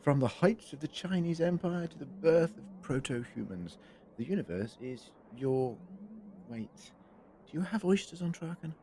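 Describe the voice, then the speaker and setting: A man's voice: fairly high pitch, lively intonation, moderate pace, dark tone, a little hiss or hum. A man playing a whimsical, worldly alien time traveller in an audio drama, musing eloquently and playfully.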